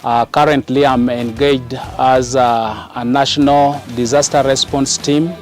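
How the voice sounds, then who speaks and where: A man, a disaster response volunteer, interviewed in a measured way with pauses. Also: mid-range voice, little pitch movement, a little mellow, soft background music.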